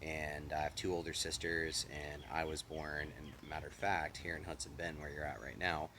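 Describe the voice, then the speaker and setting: A man in his thirties from Austin, Texas, telling stories from his childhood in a relaxed, rambling way as an interview guest. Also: rumbling low, normal speed, a little hiss or hum. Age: 30s. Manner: relaxed, rambling, says "like" and "you know" a lot. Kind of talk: casual conversational storytelling.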